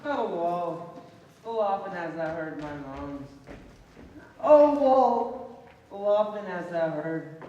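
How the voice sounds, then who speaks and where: A man's voice: sits high, lively intonation, slow pace, pauses often, warm tone, muffled recording. A man acting a mournful comic maiden who laments in exaggerated stage verse. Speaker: actor in a school stage play. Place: large indoor stage hall. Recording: distant mic in echoey hall, faint noise.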